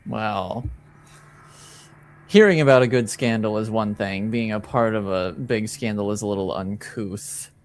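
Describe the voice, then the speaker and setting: A man playing a slow-talking, slippery underworld informant. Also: on the low side. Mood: dryly amused. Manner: evasive, measured, hesitant. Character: slippery, guarded male underworld informant, dryly smug.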